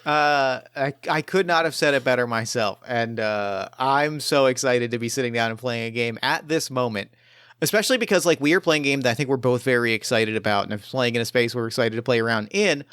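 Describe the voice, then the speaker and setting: A man's voice: mid-range voice, brisk, a bit bright, smooth, no echo, studio quality. A man hosting as game master, chatting casually and narrating a game scene with enthusiasm. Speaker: game master and podcast host.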